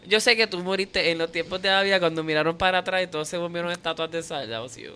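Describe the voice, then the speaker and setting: Bright, clear-voiced playful bilingual panelist. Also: medium pitch, fast. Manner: playful, mixes Spanish and English. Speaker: panelist on a talk show. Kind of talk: casual chat.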